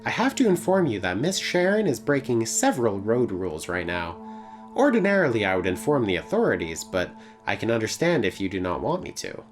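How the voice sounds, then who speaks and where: A man's voice: on the low side, very animated, a little fast, soft background music. A man voicing a polite, rule-abiding digital wrist assistant in a visual novel, with formal, precise wording. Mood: anxious, formal. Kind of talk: character voice in a visual novel read-aloud.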